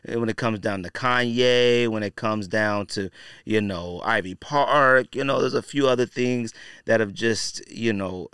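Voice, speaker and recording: A man's voice: lowish voice, a little slow, fairly bright tone, clear, resonant tone, no room sound, clean studio sound. A man hosting a podcast, giving casual, opinionated commentary in a conversational, slangy, blunt way with emphatic repetition. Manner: conversational and slangy, frequently saying "you know" and "man". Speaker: podcast host.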